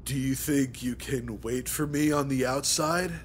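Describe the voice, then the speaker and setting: A man's voice: mid-range voice, steady tone, unhurried, slight background noise, close to an everyday voice. A man voicing an awkward, easily flustered teenage boy in a visual novel, mild and hesitant in his delivery. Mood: resigned.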